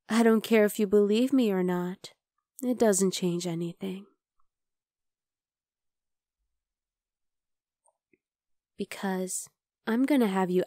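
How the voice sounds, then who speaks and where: A woman's voice: mid-range voice, no echo, studio quality. A woman playing an obsessive, chatty vampire lab worker in an ASMR roleplay, coaxing and teasing the listener. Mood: possessive, intent. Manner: conversational, teasing, coaxing, with soft asides.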